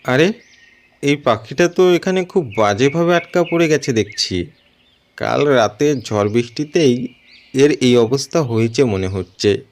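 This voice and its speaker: A man's voice: mid-range voice, lively intonation, unhurried. A man voicing a poor, kindly woodcutter father in a cartoon story, homely, weary and dutiful. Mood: weary, musing.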